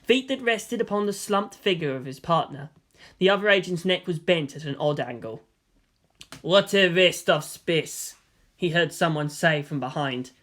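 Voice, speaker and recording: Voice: mid-range voice, animated, moderate pace, fairly bright tone. A narrator reading an action story aloud in a dramatic, scripted storytelling style.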